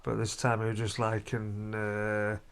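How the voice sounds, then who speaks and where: A man's voice: fairly low pitch, completely flat delivery, measured pace, clear, resonant tone. A man chatting casually as a family vlog host, often tagging questions onto his remarks. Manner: chatty, seeking agreement with tag questions. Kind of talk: casual chat reviewing a family outing. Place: hotel room.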